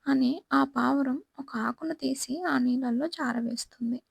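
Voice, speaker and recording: A woman narrates a scripted children's story. Her voice is high, with noticeable creak and some huskiness, in a dry recording. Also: unhurried, dry recording.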